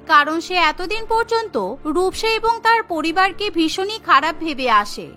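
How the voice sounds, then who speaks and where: A woman's voice: very high-pitched, bright, forceful tone. A woman narrating a scripted cartoon story as a storyteller, over music.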